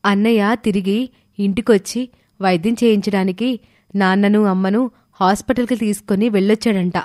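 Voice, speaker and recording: A woman playing a caring, gentle married daughter in a dramatised moral story. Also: medium pitch, fairly even intonation, moderate pace, dry recording, studio quality, lightly acted. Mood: concerned.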